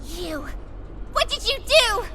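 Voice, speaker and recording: A woman's voice: very high-pitched, sing-song, slow, noisy background, exaggerated voice. A woman voicing a small, anxious female toy creature in a video game, urgent and imploring. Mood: accusing, distraught. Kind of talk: dramatic video game acting.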